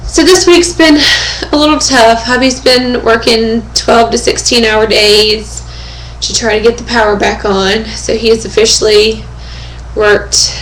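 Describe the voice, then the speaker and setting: A young woman speaks slowly and casually into her webcam at home, her voice hoarse and fading. She fills her talk with pauses and keeps clearing her throat. The room echoes around her, with a faint hum of ambience behind. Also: a little high, fairly even intonation. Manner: chatty, many fillers and throat clearing. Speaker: vlogger. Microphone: webcam microphone.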